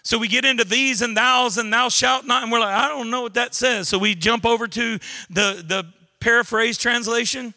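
A Southern pastor from Louisiana preaches in a high, bright, forceful voice. He is a middle-aged man who leans on folksy humor and quick asides. He often restarts his words mid-phrase as he teaches. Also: steady tone, vocal fry. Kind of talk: sermon.

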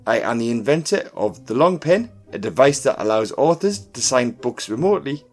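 A man hosting a quiz podcast, reading out scripted questions and clues in a deliberate announcing manner. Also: mid-range voice, very animated. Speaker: pub quiz podcast host. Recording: music bed under the voice. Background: background music.

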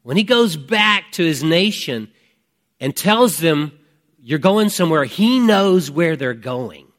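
A man in his fifties preaching a conversational sermon, reflective and emphatic, with deliberate pauses and repeated phrases. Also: a little high, expressive, fairly bright tone. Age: 50s. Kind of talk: conversational sermon with personal testimony.

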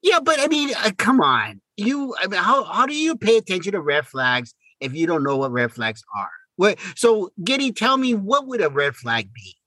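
A man's voice: high-pitched, very animated, normal speed, hardly any pauses, bright, forceful tone, dry recording. A man co-hosting a podcast in casual banter, playful and joking. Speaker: podcast co-host.

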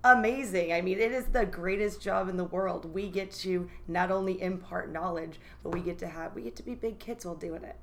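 A woman's voice: on the low side, a little fast, hardly any pauses, fairly bright tone. A woman teacher talking casually in an interview, enthusiastic and chatty, full of "like".

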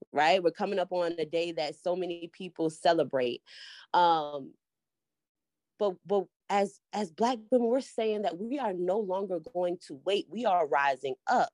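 A woman's voice: fairly low pitch, unhurried, a little mellow, no echo. A woman hosting a panel podcast, rallying her guests with energy. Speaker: podcast host.

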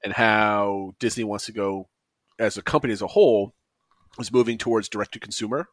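A man with a low voice chats casually as a podcast co-host. He often lets his sentences drop into quieter, lower asides. The recording is dry and close. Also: steady tone, a little fast, no echo.

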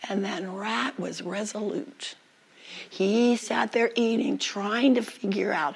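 Female storyteller, slightly high husky voice, dramatic deliberate delivery for live oral folktales. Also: fairly bright tone. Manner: dramatic, deliberate phrasing with pauses.

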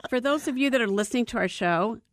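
A woman's voice: on the high side, quick pace, dry recording. Female co-host, upbeat banter, dry close mic. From Arizona. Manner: bubbly, laughing banter. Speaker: radio co-host. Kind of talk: casual chat and interview.

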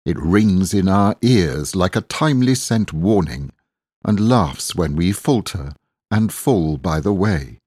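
A man reading inspirational verse aloud in a deliberate, earnest, exhorting manner. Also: low-pitched, animated, darkish tone, no echo, clean studio sound. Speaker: poetry reader. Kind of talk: measured inspirational poetry recitation.

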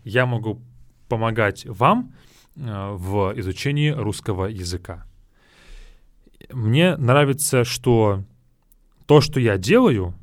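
A man who is a native Russian speaker, hosting a podcast for learners, speaking slowly and repeating words to explain them. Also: mid-range voice, no room sound, clean studio sound. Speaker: Russian teacher and podcast host. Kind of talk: slow teaching podcast, Russian with English glosses.

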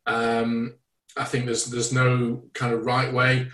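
A man's voice: medium pitch, monotone, slow, a bit bright. A man co-hosting a startup podcast, chatting casually with a jokey, self-deprecating manner. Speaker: podcast co-host and startup founder. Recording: echoey, distant from the mic.

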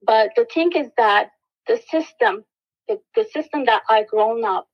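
A woman's voice: a little high, measured pace. Earnest Turkish-accented woman, muffled audio. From Turkey. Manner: earnest, steady, many fillers and restarts. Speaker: guest, education specialist. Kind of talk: reflective interview answer.

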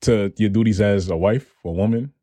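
A man's voice: fairly low pitch, moderate pace, dark tone. A man co-hosting a podcast, slangy and probing as he teases and questions the guests. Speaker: podcast co-host. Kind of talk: casual chat.

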